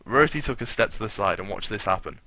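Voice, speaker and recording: A man's voice: lowish voice, lively intonation, quick pace, sharp tone. A man narrating a fan fiction as a dramatic reading, irreverent and foul-mouthed, often breaking off to comment. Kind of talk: dramatic reading with casual banter.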